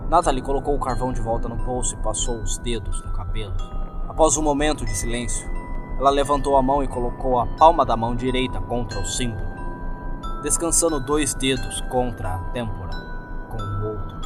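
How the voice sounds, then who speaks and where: A man's voice: medium pitch, a little fast. A man with a Brazilian accent, doing scripted narration as the host of a horror story podcast. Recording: music behind the voice.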